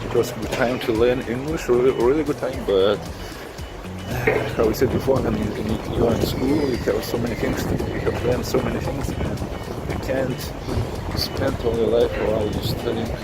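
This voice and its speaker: Lithuanian man talking over loud music. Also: medium pitch, steady tone, poor audio. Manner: halting, searching for words, with repeated restarts. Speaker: vlogger. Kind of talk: casual personal storytelling.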